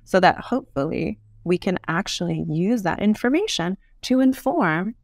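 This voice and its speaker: A woman's voice: mid-range voice, lively intonation, moderate pace, no room sound, studio quality. A woman giving thoughtful, articulate explanations as a guest in an interview conversation. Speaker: podcast guest, urban design expert.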